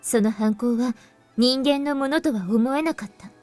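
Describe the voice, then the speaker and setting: A woman voicing a composed, serious young heroine in a visual novel, speaking in a measured, explanatory way. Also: a little high, a little mellow, lightly acted. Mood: grave, measured. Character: composed young woman revealing grave secrets, anime game heroine. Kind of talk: Japanese visual novel voice acting. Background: game music.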